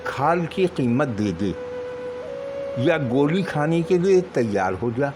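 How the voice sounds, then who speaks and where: A man's voice: fairly high pitch, very animated, slow. Man acting curt, bullying party activist over music. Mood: threatening. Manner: curt, threatening, commanding. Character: bullying young male party activist demanding donations. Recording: music behind the voice.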